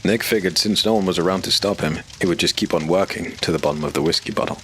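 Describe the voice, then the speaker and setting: A man narrating a dark fantasy audio drama in a measured, storytelling way. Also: low voice, slight background noise.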